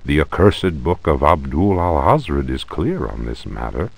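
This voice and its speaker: An actor playing a grave reader of an accursed tome in a video game, solemn, ominous and theatrical. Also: male voice, rumbling low, sing-song, measured pace, vocal fry, a little mellow, husky, heavily acted. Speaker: narrator. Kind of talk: theatrical reading of an ancient occult book.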